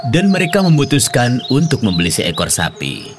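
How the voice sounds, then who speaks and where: A man narrating a scripted children's story, with loud music behind him. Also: medium pitch, huge pitch swings, normal speed.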